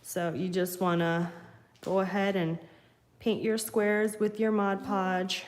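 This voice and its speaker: Sniffly woman hosting a slow craft tutorial. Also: medium pitch, level tone. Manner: slow, chatty, talks to viewers while working. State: getting over a cold, sniffly. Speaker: craft channel host. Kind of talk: live craft tutorial, casual. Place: home craft table, indoors.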